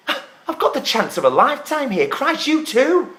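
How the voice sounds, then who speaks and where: A man performing a dramatic stage monologue as a desperate, scornful theatre graduate, pleading emphatically and repeating himself haltingly. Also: sits high, huge pitch swings, sharp tone, full character voice. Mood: indignant.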